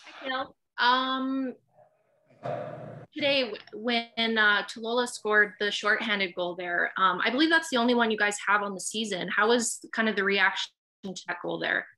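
Bright-voiced woman reporter asking questions. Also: mid-range voice, normal speed, poor audio. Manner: polite, hesitant with fillers. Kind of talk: press conference questions.

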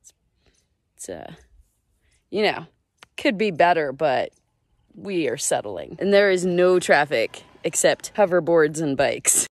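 A woman's voice: low voice, big pitch swings, slow, long pauses, no room sound, clean studio sound. A woman in her thirties narrating a casual cycling vlog outdoors, upbeat and chatty, recorded on an action camera. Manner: upbeat, chatty, tour-guide commentary. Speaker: vlogger. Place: outdoors on a mountain road, riding a bike. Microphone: action camera on the bike.

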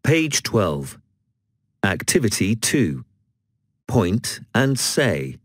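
A man announcing textbook page and exercise numbers in a slow, measured way. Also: fairly low pitch, sing-song, no room sound, clean studio sound.

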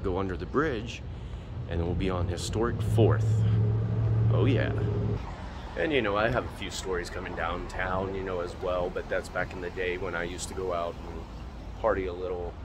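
Low male vlogger, easygoing walk-and-talk, recorded outdoors with street noise. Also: a little fast. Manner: easygoing, rambling with fillers. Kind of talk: casual walking tour narration. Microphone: handheld camera while walking. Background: street traffic.

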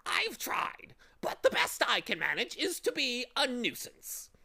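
A man's voice: very high-pitched, wildly swooping pitch, measured pace, creaky, sharp tone, in a whisper, pitched above the performer's own voice, heavily acted. A man voicing a meddling, self-pitying bird in a storybook, with a shrieky cackle and a gleefully theatrical manner. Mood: mournful disgust. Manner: interrupting, gleefully literal, theatrical.

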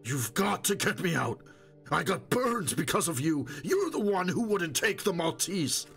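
A man voicing a wounded, wheedling old stalker in an audiobook, boastful and desperate, with a hoarse, strained, pleading voice. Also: high voice, very animated, unhurried, fairly bright tone, faint music behind, pitched above the performer's own voice, exaggerated voice. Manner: pleading, moaning, insistent.

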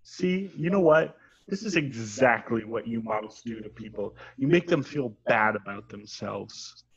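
A man playing an exasperated, self-conscious, earnest woman journalist with halting delivery in a comedy table read. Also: mid-range voice, animated. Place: at home on a video call. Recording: muffled video call audio.

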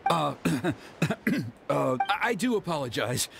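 A stern middle-aged village elder in a fantasy game. He speaks formally and proudly, with animated swings of pitch, over loud music. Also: slow pace, creaky, heavily acted. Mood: flustered, apologetic. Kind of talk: video game voice acting.

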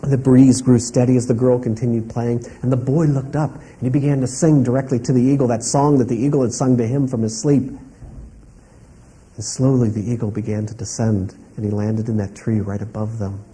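A man telling a story aloud in a calm, rhythmic way, linking each step with "and". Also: mid-range voice, fairly quick, slightly rough. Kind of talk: oral storytelling.

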